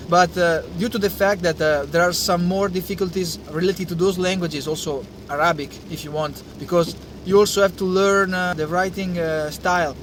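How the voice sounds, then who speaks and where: A young man with an Italian accent speaks in a fairly high voice, pausing often on fillers as he talks casually to camera. Street and traffic noise sits clearly behind him outdoors. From Italy. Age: young adult. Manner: hesitant, many uh fillers and restarts. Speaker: science channel host.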